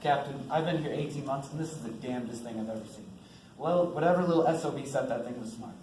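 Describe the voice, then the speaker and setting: A man's voice: mid-range voice, fast, a little mellow, lightly acted. A teenage boy playing a blunt, commanding army bomb-disposal officer in an amateur stage play. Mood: calm, firm. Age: teens. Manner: blunt, authoritative. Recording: echoey stage, faint noise.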